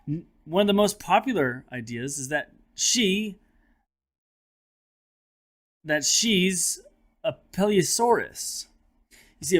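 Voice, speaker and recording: A man who teaches third grade reads a children's nonfiction book aloud in an expressive, teacherly manner, addressing his young listeners directly. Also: fairly high pitch.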